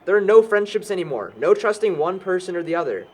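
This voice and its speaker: A man's voice: sits high, sing-song, fast talker, darkish tone, breathy edge, exaggerated voice. An actor playing a defensive, cynical young man in an amateur audio drama, arguing with sarcasm. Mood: defensive confessing. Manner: sarcastic, argumentative.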